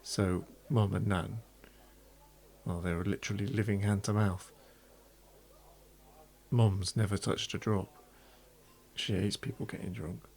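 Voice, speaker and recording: Man acting a slow, regretful drunk Englishman. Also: fairly low pitch, fairly even intonation, creaky, darkish tone. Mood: subdued, bitter. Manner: halting, confessional, with whispered breaks. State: tipsy from red wine, acted. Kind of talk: dramatic monologue.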